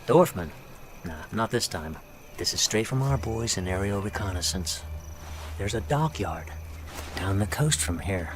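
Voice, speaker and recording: Low-voiced, genial intelligence officer. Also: male voice, lively intonation, measured pace, slight background noise. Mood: brisk briefing. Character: genial, shrewd male WWII intelligence officer giving briefings. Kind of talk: dramatic game cutscene acting. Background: music.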